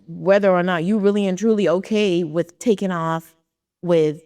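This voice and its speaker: Woman podcast host, raspy, encouraging, close dry mic. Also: lowish voice, fairly even intonation, moderate pace, darkish tone, dry recording. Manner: encouraging, affirming. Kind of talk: casual interview chat.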